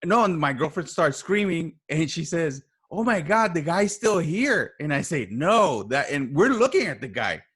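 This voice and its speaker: A man speaking as a podcast guest, casually telling a story with repeated phrases and halting starts, acting out the quoted dialogue. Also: on the high side, sing-song, fairly bright tone, husky. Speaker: podcast guest, writer and voice actor.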